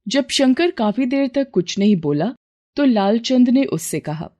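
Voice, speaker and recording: A woman's voice: fairly high pitch, expressive, unhurried, mellow. A woman narrator telling a scripted moral tale in a storytelling style.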